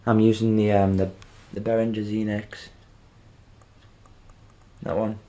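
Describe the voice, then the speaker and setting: A man presenting an informal how-to demonstration, halting and rambling, often checking in with the listener. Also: fairly low pitch, flat delivery, warm tone. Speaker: video tutorial presenter.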